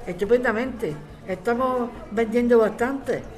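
A woman's voice: a little high, a little slow, soft background music, close to an everyday voice. A woman playing a friendly, grateful ticket seller at a party in an audio drama. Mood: pleased, grateful.

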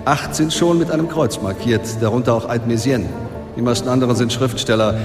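An actor playing a businesslike police detective in a radio drama, reporting facts in a matter-of-fact manner. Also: male voice, medium pitch, fairly quick, over music, lightly acted. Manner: factual, businesslike.

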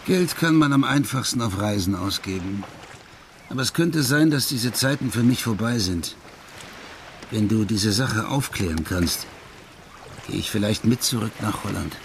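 A man's voice: lowish voice, expressive, normal speed, slight background noise. An actor playing a wry, laid-back Dutch ex-police detective telling his tales in a radio crime drama. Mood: wry, reflective.

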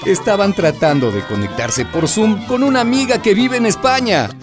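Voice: medium pitch, lively intonation, normal speed, over loud music, close to an everyday voice. A performer playing a gossipy, amused neighborhood storyteller in a radio drama, narrating in a wry, colloquial, confiding way.

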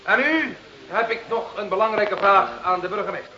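A man acting in a Dutch radio play as a brisk, persuasive village smugglers' ringleader with a rallying, decisive manner. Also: high-pitched, pushed and bright, full character voice. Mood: businesslike. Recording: muffled, echoey old radio drama recording.

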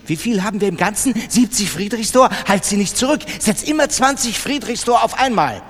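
A man voicing an imperious, excitable old grandmother who fires off impatient questions and commands in a literary reading. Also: high-pitched, a little fast, pitched above the performer's own voice. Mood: frantic, commanding. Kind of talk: dramatized literary audiobook reading. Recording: long reverberant hall.

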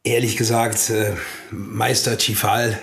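An actor playing a confident, easygoing doctor who leads a club, in a radio drama. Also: male voice, on the low side, level tone, takes it slowly, gravelly, a bit bright, echoey room, heavily acted. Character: confident club leader doctor from a big city.

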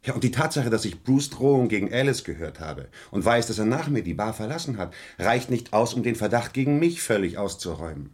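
An actor playing an earnest, stubborn, wary murder suspect in a vintage radio crime play, reasoning emphatically. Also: male voice, fairly low pitch, big pitch swings, brisk, hardly any pauses, darkish tone, breathy edge.

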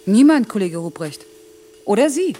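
A woman's voice: medium pitch, very animated, normal speed, dark tone, slight background noise, lightly acted. An actress playing a determined, outspoken young working mother and factory worker in a German radio play. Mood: insistent. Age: young adult. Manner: assertive, outspoken.

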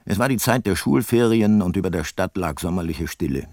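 An actor playing a reflective, weary private detective in a radio drama, measured and laconic. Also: male voice, low voice, expressive, darkish tone, no room sound, studio quality. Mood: reflective, wry. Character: weary, reflective male private detective narrating his case. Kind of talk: scripted narration in a radio drama.

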